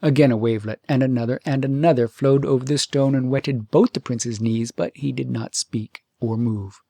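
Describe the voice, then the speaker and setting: A man's voice: mid-range voice, fairly even intonation, moderate pace, vocal fry, mellow, no echo, clean studio sound. A man reading a fairytale aloud as an audiobook storyteller, in scripted narration.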